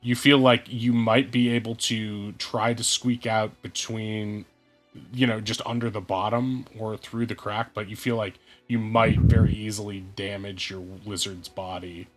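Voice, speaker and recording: A man running an online tabletop game as game master, speaking in a measured way with many hesitant fillers. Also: on the low side, level tone, soft background music.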